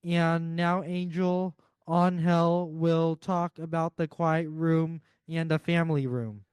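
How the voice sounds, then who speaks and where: A man co-hosting a forum, giving scripted access announcements in a measured, careful way. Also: fairly high pitch, little pitch movement, a little mellow, clean tone, dry recording, studio quality. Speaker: event co-host. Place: hotel ballroom event.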